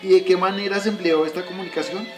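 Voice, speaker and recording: A man acts out a patient father in a scripted radio drama. His voice sits high for a man and he lectures his son in a didactic, recited way. Music plays faintly behind him. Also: fairly even intonation, fast talker, close to an everyday voice. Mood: explanatory.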